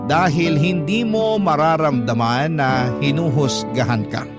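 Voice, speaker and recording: A man's voice: fairly high pitch, a little slow. A man narrating a scripted first-person story as the host of a radio drama. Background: prominent plucked guitar music.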